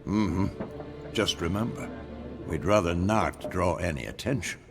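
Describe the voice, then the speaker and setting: An actor playing a gruff, wry older monster hunter in a video game cutscene, with an offhand way of talking. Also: male voice, deep voice, measured pace. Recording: game audio with music behind.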